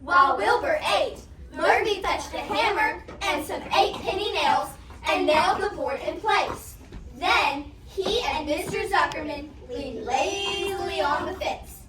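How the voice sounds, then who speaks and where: A young female student narrating a storybook on stage with excited, emphatic delivery. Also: extremely high pitch, measured pace. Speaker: student reader's theatre narrator. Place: competition performance room indoors. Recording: noisy echoey room, distant mic.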